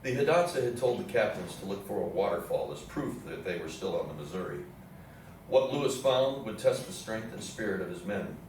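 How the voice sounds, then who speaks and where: A low-voiced man delivers scripted historical narration as a play's narrator. He is recorded from a distance in a very echoey room with faint noise. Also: lively intonation, brisk, darkish tone. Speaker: narrator in a readers theatre play. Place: indoor theatre room with audience program.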